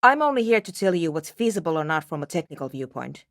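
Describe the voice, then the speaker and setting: A woman's voice: low voice, very animated, fast talker, dry recording. A woman playing a frank, pragmatic female engineer in a video game, speaking in a dry, matter-of-fact and precise way. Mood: brisk, businesslike. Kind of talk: scripted video game character dialogue.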